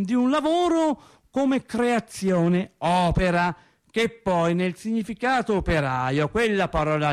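Radio theatre monologue: rambling, earnest factory hand, high-pitched, colloquial, emphatic, doubling back on phrases. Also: male voice. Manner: colloquial, emphatic, self-interrupting, repeats himself. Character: earnest, rambling working-class male factory worker recounting his life.

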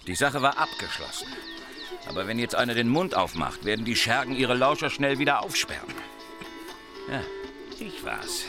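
An actor playing a coarse, defensive, irritable mobster in a radio crime drama. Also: male voice, mid-range voice, lively intonation, moderate pace, pauses often, music in the background, natural voice. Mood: irritated. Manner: gruff, cursing, defensive. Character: coarse, irritable male Sicilian mobster with guilty secret.

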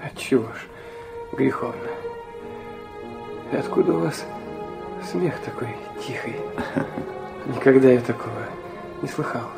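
An actor playing an intense, proud young man weakened by illness, narrating and speaking earnestly and haltingly in a literary audio drama. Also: mid-range voice, creaky, music in the background. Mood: curious, hushed. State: weak from illness.

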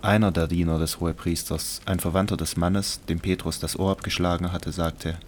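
A man narrating a scripted Bible audio drama in a measured, sober way. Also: deep voice, little pitch movement, a little hiss or hum.

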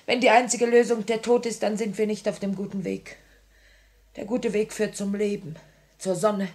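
An actress playing a grieving but resolute young revolutionary in a 1950s German radio play, solemn and theatrical. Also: female voice, mid-range voice, steady tone, normal speed, a little mellow, lightly acted. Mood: anguished. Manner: theatrical, solemn, impassioned delivery. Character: grieving, resolute young woman revolutionary, torn between love and duty.

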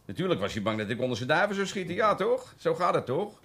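Cagey ex-burglar, Dutch, highly animated pitch, colloquial, evasive, boastful, grudgingly polite, radio drama. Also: male voice, quick pace, slightly rough, heavily acted. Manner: evasive, colloquial, hesitant, self-justifying. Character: cagey, boastful small-time male ex-burglar, grudgingly deferential.

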